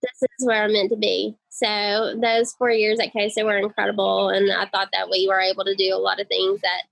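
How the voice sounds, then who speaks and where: A woman with a high, girly voice, chatting enthusiastically as a guest on an interview podcast. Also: little pitch movement, a little fast, creaky, fairly bright tone, dry recording, poor audio. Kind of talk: casual interview conversation.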